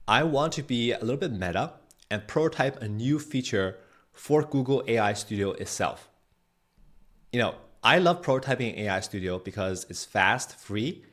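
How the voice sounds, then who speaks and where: Guy with a lowish voice doing a tech walkthrough, conversational and explanatory. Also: fairly bright tone. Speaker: host, product manager giving a tutorial. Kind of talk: tutorial narration with screen walkthrough.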